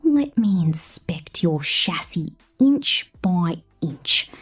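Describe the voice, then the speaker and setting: A woman performing an ASMR roleplay as a possessive, teasing space mechanic with a condescending maternal streak, speaking softly and often slipping into breathy whispers. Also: lowish voice, animated, very slow, faint music behind.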